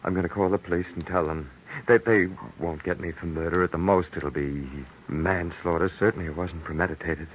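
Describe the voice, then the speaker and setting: A man's voice: low voice, fairly even intonation, a little fast, few pauses, a little hiss or hum, lightly acted, poor audio. An actor playing a desperate young man on the run, frantic and stammering, in 1940s radio acting. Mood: pleading.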